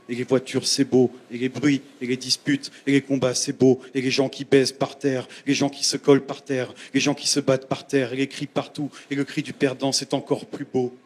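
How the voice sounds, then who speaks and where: A man reading his own poetry on stage, chanting short, repeated phrases with pauses between them. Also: medium pitch, husky. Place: theatre stage at a festival. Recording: noisy live stage recording with ambience.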